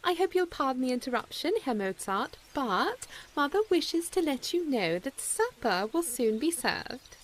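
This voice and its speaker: A woman's voice: sits high, big pitch swings, moderate pace, hardly any pauses. A woman playing a polite, deferential young lady of a household in a period audio drama, speaking with formal courtesy. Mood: polite, cheerful.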